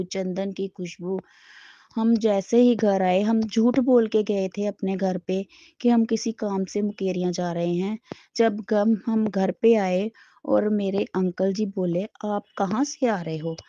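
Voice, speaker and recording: A middle-aged woman with a sore throat tells a devotional story slowly, with a soft, mellow tone and many restarts. The recording is dry and a little dull. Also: mid-range voice, dry recording. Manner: slow, devout storytelling with frequent restarts. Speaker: devotee sharing a testimony. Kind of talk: personal spiritual testimony.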